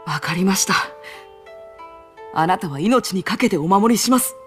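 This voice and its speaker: A woman's voice: mid-range voice, animated, moderate pace, close to an everyday voice. A woman voicing a polite, resolute young samurai retainer in a video game, formal and earnest. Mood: composed, earnest. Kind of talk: Japanese video game cutscene acting. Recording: music under the dialogue.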